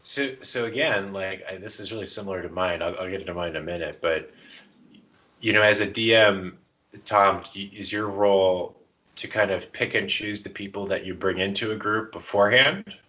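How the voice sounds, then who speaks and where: A man's voice: deep voice, moderate pace, sharp tone, highs cut off. A man co-hosting a podcast in casual chat, with an irreverent, self-deprecating way of talking. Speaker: podcast co-host.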